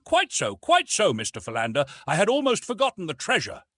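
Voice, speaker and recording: A man's voice: high voice, wildly swooping pitch, a little fast, fairly bright tone, no room sound, clean studio sound, pitched above the performer's own voice, exaggerated voice. A man voicing a fussy, pompous, absent-minded old professor in an audiobook, repeating himself as he talks. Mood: fussy, indulgent. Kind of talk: dramatised audiobook reading.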